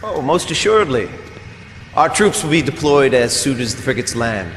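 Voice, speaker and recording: A man acts a proud military son in a game cutscene, with a mid-pitched voice and very lively intonation. He is formal and resentful, heard with some hall reverb. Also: moderate pace, lightly acted. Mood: wary. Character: proud, resentful soldier son obeying his father. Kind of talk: video game cutscene acting. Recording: hall reverb, faint noise.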